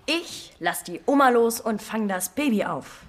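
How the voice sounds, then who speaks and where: A woman's voice: fairly high pitch, expressive, a little slow. A woman playing a cocky, grumbling schoolboy superhero pupil in a children's radio play, boastful and mocking.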